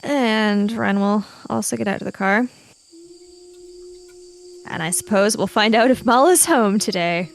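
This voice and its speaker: A woman's voice: mid-range voice, unhurried, creaky, pauses often, a little hiss or hum. A woman playing a tabletop roleplaying game, chatting casually and narrating her character's actions. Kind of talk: casual game chat and third-person narration.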